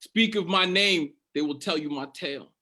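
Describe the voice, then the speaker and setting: A man's voice: a little high, moderate pace, sharp tone. A man from Canada doing stand-up, telling a story in a casual, profane way. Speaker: stand-up comedian.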